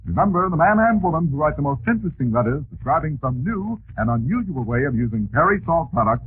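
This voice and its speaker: A man's voice: on the high side, big pitch swings, quick pace. A man with an American accent reading scripted advertising as a 1940s radio announcer. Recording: tinny, hissy 1940s radio recording.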